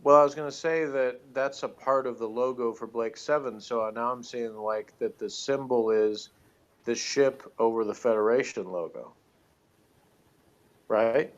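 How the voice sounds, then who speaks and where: Man, medium pitch, informal reviewer, casual chat, pauses mid-thought. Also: highs cut off. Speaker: reviewer co-hosting a TV review show.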